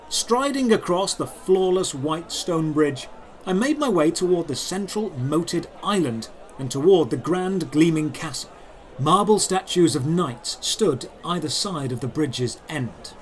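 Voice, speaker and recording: A man's voice: on the high side, a little hiss or hum. A man giving dramatic, scripted first-person narration for a fantasy audio drama.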